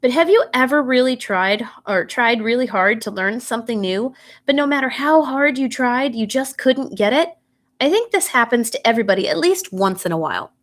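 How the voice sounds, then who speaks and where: Woman, slightly high voice, bedtime storyteller, expressive read-aloud, engages child listeners with questions. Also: normal speed.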